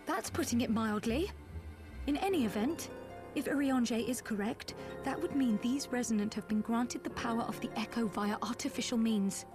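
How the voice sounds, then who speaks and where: Wry game scholar, female actor, lightly high voice, articulate understatement over prominent music. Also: moderate pace, close to an everyday voice. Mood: dry, thoughtful. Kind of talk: video game dialogue acting.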